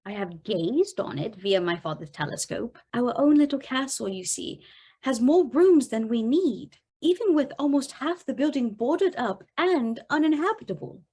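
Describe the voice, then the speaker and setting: An actress playing a sheltered, wistful young gentlewoman, telling her story formally in a radio drama. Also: high-pitched, expressive, normal speed, husky. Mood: polite, guarded. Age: young adult. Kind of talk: radio drama narration and dialogue.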